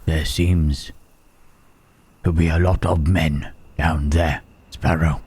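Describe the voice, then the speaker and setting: A man playing a gentle, melancholy Frankenstein's monster in an intimate ASMR roleplay, speaking haltingly and formally in a husky, breathy rasp. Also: a bass voice, level tone, full character voice. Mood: sorrowful, wondering. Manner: slow, halting, archaic formal phrasing with long pauses.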